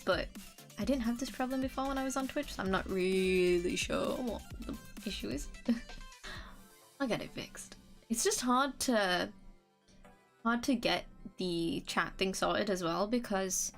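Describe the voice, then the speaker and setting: A young woman, chatting casually on a live stream, rambling, restarting sentences and laughing now and then. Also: medium pitch. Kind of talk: casual chat reading comments.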